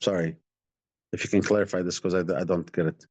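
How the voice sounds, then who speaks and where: A Palestinian man with an Arabic accent, speaking as an activist in a live online question session, halting and often repeating his words. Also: deep voice, fairly even intonation, darkish tone, no room sound. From Palestinian West Bank. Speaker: activist, director of a community rights organisation. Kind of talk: live online talk answering audience questions. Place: online video call. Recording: dull, lo-fi online stream sound.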